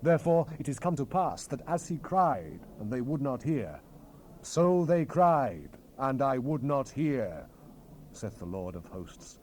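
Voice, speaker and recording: Through light cassette hiss comes a man performing a solemn Hebrew prophet in a scripture drama. His tone is soft-edged and dark, and his pitch rises and falls widely as he declaims with commanding, emphatic phrasing. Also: very animated, a little slow. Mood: stern, grave. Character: solemn, commanding male Hebrew prophet relaying God's word. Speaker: scripture narrator. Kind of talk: dramatized Bible reading. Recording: hissy unprocessed cassette tape rip.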